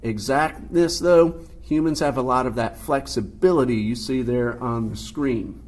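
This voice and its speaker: A man teaching a recorded physical science lesson, explaining terms patiently and step by step in his deep voice. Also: measured pace, a little mellow. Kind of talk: recorded classroom lecture.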